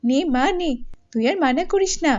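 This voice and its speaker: A woman's voice: sits high, lively intonation, normal speed, highs cut off, pitched above the performer's own voice. A woman voicing a kindly, outspoken village aunt in a cartoon fairy tale, effusive and gossipy. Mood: insistent, gossipy.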